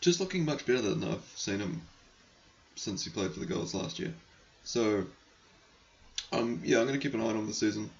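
A man hosting a casual hockey podcast and answering listener questions. He is losing his voice, clears his throat often, and talks in a rambling, frank, sometimes crude way. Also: fairly low pitch, lively intonation, normal speed, muffled recording, a little hiss or hum. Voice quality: gravelly, croaky.

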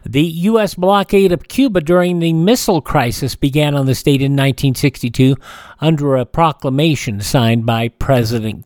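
An American man hosting a radio show, reading a history rundown informally, with halting asides. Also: mid-range voice, a little mellow, dry recording. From Kansas, United States.

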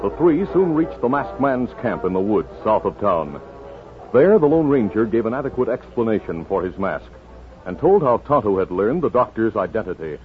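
A man's voice: fairly low pitch, big pitch swings, moderate pace. An American man reading dramatic scripted narration for a 1950s radio western. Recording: muffled 1950s radio, music behind.

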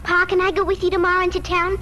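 A woman's voice: very high-pitched, completely flat delivery, fast talker, sharp tone, muffled recording. An actress playing an earnest, eager little farm girl in a 1940s radio drama. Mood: eager, shy. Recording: noisy old 1940s radio recording.

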